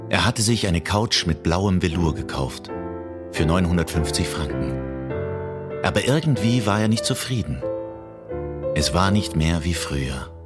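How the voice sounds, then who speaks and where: A man reading scripted literary prose for radio in a deadpan manner. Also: low voice, measured pace, long pauses, over loud music.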